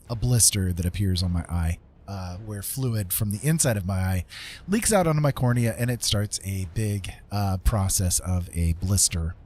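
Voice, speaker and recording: A middle-aged man with a fairly low voice talks casually on a livestream, with music clearly playing behind him. He rambles in a chatty way, often hesitating as he addresses his viewers. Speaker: livestreamer and digital artist.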